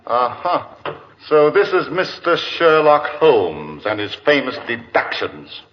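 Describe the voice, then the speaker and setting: An actor playing a sardonic, mischievous, quarrelsome aristocrat with a suave, mocking manner in an old-time radio drama. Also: male voice, medium pitch, very animated, slow pace. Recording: dull vintage radio recording.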